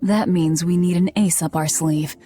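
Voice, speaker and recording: A woman voicing a grim, hard-bitten young outlaw in a video game, curt and deadpan. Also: on the low side, moderate pace, a little hiss or hum, natural voice. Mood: cold.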